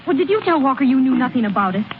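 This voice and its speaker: A woman playing a loyal, determined sweetheart, earnest and gently insistent, in old-time radio drama. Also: high voice, sing-song, very fast, phone-quality sound. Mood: concerned. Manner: earnest, gentle but insistent, plain-spoken. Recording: thin, noisy vintage radio broadcast recording.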